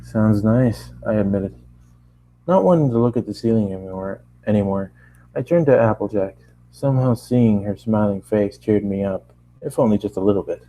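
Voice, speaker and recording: A man's voice: lowish voice, brisk, dark tone, poor audio. A man narrating first-person fan fiction in a conversational way, stumbling and re-reading lines as he goes. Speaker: amateur story narrator.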